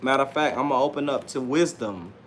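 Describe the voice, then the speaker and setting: A man's voice: medium pitch, a little fast. Self-assured man talking over background noise. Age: late 30s. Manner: self-assured, slangy, repeats himself, often says "man". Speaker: livestream host and self-published author. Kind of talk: casual unscripted livestream monologue.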